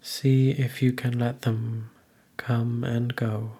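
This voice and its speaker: Slow, husky man guiding meditation. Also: mid-range voice, little pitch movement. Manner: gentle, measured, with long pauses between phrases. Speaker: guided meditation teacher. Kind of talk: guided meditation, soothing instruction.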